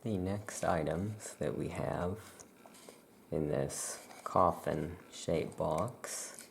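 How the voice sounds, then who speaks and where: A soft-spoken ASMR performer playing a shopkeeper, presenting items in an unhurried, explanatory way. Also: low voice, creaky, a little hiss or hum.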